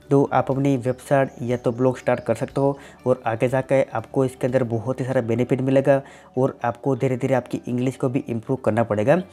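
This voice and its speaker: A man, answers viewer questions on his tech channel in a plain, explanatory way, leaning on "definitely" and "simply". His voice is warm and mellow. Faint music plays underneath. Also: medium pitch. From Gujarat, India. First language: Gujarati.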